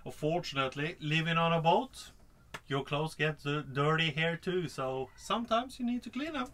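A man's voice: on the high side, moderate pace, slight background noise. Chatty man vlogging from a sailboat. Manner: chatty, relaxed, many "uh" fillers. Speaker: sailing vlogger. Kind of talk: casual vlog talk to camera. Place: sailboat on a fjord, outdoors, then in the cabin. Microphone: camera held at arm's length, then camera on a shelf.

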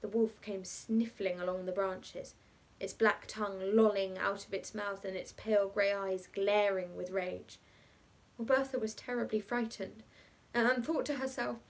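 A coolly inventive bachelor as read by a woman spinning a tale for children. The delivery is matter-of-fact and deliberate, in a fairly high voice, as in a storybook reading. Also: lightly acted.

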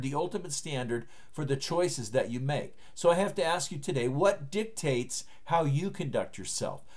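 A pastor in his fifties preaches straight to a webcam from a home study lined with books. His voice sits a touch higher than most men's, and his delivery is earnest and emphatic. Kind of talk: recorded online sermon. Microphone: webcam framing, seated at desk.

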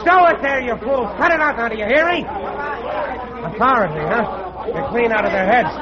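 A hot-headed sarcastic first mate in an old radio play, high agitated voice, dull recording with din behind. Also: male voice, animated, moderate pace, a loud crowd. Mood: shouting orders. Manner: agitated, sarcastic, salty sailor talk. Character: hot-headed, exasperated ship's first mate shouting over a storm. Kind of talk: 1940s radio drama acting.

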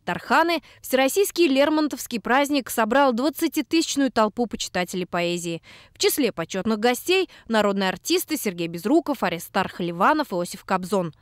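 A woman narrating a TV news report as a voice-over, measured and expressive. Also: on the high side, a little hiss or hum. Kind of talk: scripted narration.

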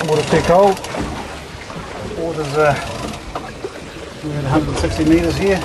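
A man's voice: on the high side, a little slow. A man giving casual running commentary as an amateur angler filming his fishing. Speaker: amateur angler narrating own fishing video. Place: outdoors on a small boat at sea. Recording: very noisy outdoor boat recording. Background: boat and water noise.

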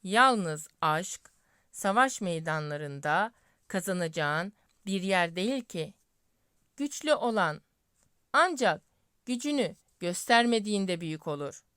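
A woman's voice: mid-range voice, lively intonation, slow pace, long pauses, fairly bright tone, clear, resonant tone, no room sound, studio quality. A woman voicing a wise, kindly North Star who gives earnest counsel in a fairy tale.

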